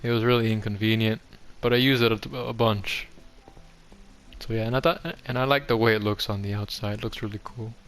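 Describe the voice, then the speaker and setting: A man's voice: fairly low pitch, steady tone, a little fast, a bit bright. A young man giving a casual, offhand game tour commentary, rambling along in a self-deprecating way. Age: young adult. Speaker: gaming video host. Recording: music behind the voice. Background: background music.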